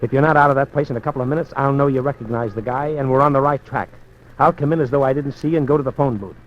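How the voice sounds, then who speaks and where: An actor playing a firm, steady police detective in a 1940s radio drama. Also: male voice, mid-range voice, very fast, natural voice. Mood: coaching. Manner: firm, clipped, calls others kid. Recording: dull old radio broadcast.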